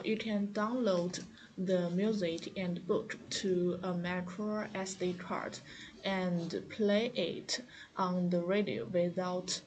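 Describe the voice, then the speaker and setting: Female product demonstrator, unhurried and hesitant, repeating herself as she explains, over audible background noise. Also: mid-range voice. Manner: halting, hesitant delivery with frequent repeats and fillers.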